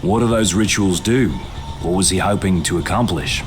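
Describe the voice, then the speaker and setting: A man's voice: low-pitched, moderate pace, music in the background, close to an everyday voice. A man playing an impatient, brusque survivor hero in a video game cutscene. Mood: challenging.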